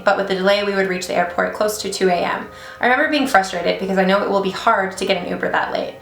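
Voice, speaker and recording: A young woman hosts her channel from a small home office, reading a story aloud in a quick, chatty way. She breaks off often for blunt, swearing reactions. Her voice carries a noticeable room echo. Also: mid-range voice, creaky, a bit bright. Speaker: beauty channel host reading stories. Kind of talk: casual vlog storytelling with asides.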